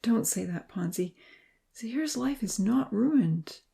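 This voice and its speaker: A woman voicing a loyal, compassionate male friend with a gentle, earnest and firm manner. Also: on the high side, lively intonation, takes it slowly, vocal fry, voice pushed up, full character voice. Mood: firm, reassuring.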